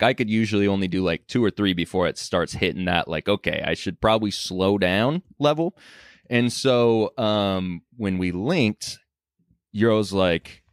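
Low-voiced laid-back podcast host. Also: male voice, clean tone, no echo, studio quality. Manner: laid-back, joking storyteller. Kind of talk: casual chat.

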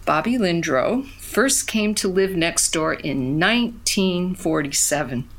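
An actress playing a plainspoken middle-aged country woman, wistfully reminiscing in an audio drama with pauses. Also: fairly low pitch, animated, heavily acted.

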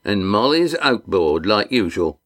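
A man's voice: fairly low pitch, sing-song, a little slow, fairly bright tone. A man voicing an eager young boat crewmate with a chirpy manner. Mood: cheerful.

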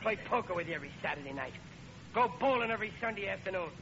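Blustery, high-voiced hapless dad, old radio. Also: male voice, expressive, rapid-fire, gravelly, sharp tone, full character voice. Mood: blustering, pleading. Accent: American. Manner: blustery, plaintive. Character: excitable, hapless working-class father, pleading and blustering. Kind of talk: 1940s radio comedy acting. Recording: muffled, noisy old radio recording.

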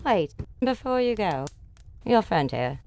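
A woman's voice: mid-range voice, very animated, slow, gravelly, darkish tone, a little hiss or hum, heavily acted. A woman playing a haughty, short-tempered, wicked empress with commanding theatrical delivery in a fantasy audio drama. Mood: contemptuous anger. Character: imperious, irritable, wicked fairytale empress tyrant.

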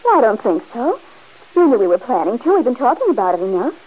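A headstrong young bride-to-be. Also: female voice, on the high side, big pitch swings, fast talker, breathy edge. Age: young adult. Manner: insistent, defensive. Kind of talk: 1940s radio acting. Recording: dull old radio recording.

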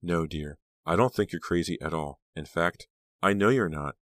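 Man playing a low-voiced, sinister, firm aunt. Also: normal speed, fairly bright tone, no room sound, clean studio sound. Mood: gently menacing. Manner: firm, blunt, coaxing, whispering at times. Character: sinister small woman posing as a gentle aunt, firm.

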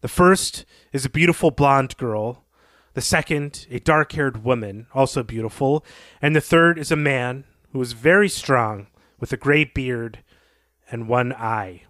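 Male podcast co-host, mid-pitched, recapping fiction with commentary, very dry close sound. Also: unhurried, fairly bright tone, no echo.

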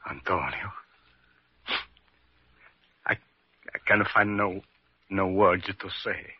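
An actor playing a proud, humble Italian immigrant father in an old radio drama, with an Italian accent and halting broken English. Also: deep voice, slightly rough, no echo, heavily acted. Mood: emotional, subdued. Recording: muffled vintage radio recording.

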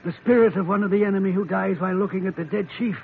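An actor playing an injured, frightened male islander shipmate with a hushed, gravelly voice. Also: high-pitched, steady tone, rapid-fire, slight background noise. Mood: fearful. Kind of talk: 1940s radio acting. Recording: tinny old radio broadcast.

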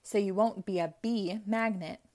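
A woman reading a storybook aloud, voicing a patient, sensible mother in a calm, matter-of-fact way. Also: medium pitch, moderate pace, close to an everyday voice. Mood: firm.

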